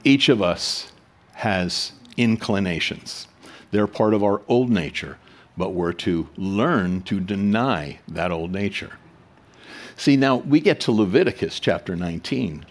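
A male pastor preaching a sermon in a conversational way, with emphatic swells and rhetorical questions to the congregation. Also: fairly low pitch.

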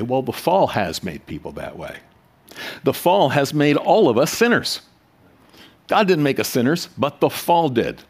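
A male pastor preaching a sermon in a conversational way, with emphatic swells and rhetorical questions to the congregation. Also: medium pitch.

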